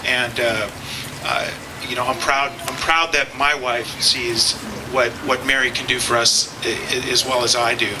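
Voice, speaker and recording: A middle-aged man, a law enforcement officer whose first language was Spanish, giving a halting rally speech full of fillers and repeated words. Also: mid-range voice, flat delivery. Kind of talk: public speech at a political rally. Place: outdoor campaign rally. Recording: outdoor rally with clear background noise.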